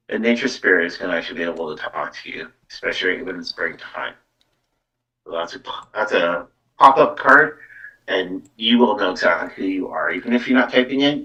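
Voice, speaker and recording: A man's voice: low-pitched, expressive, fairly quick, bright, forceful tone. A man hosting a live psychic card reading show, chatting casually with his audience. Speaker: psychic medium host giving card readings. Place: live internet stream. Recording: dull band-limited sound, far from mic.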